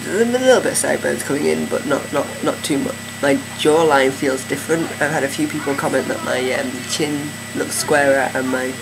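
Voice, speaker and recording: A man's voice: medium pitch, creaky. A man giving a casual video diary update, talking hesitantly with many fillers and restarts. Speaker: vlogger. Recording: steady air conditioning hum.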